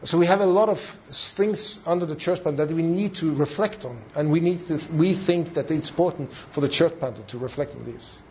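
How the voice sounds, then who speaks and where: Male seminar trainer, Norwegian accent, high voice, halting English with emphatic repeats; slightly dull, lo-fi recording. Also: darkish tone, slight background noise. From Norway. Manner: halting non-native English with emphatic repetition. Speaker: church planting trainer. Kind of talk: seminar talk. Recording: dull, lo-fi sound.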